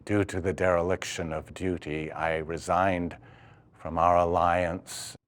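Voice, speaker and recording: Male, low and unhurried, playing a remorseful, solemn stage figure reciting formal verse. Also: flat delivery, smooth. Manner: deliberate, formal, dramatic. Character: grave, remorseful man reciting a poetic farewell. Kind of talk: theatrical poetry performance. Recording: faint music underneath.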